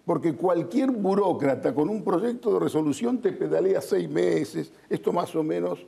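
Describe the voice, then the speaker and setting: A man's voice: high-pitched, lively intonation, moderate pace, vocal fry, warm tone, breathy edge. A man with an Argentine Rioplatense accent giving blunt, emphatic, colloquial political opinions as an interview guest. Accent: Argentine Rioplatense Spanish.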